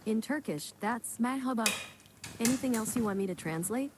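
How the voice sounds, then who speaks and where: A woman's voice: fairly high pitch, moderate pace, lightly acted. Woman's voice as a smart-speaker assistant. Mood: helpful. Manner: even, polite, scripted phrasing. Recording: small speaker heard from across the room, noisy.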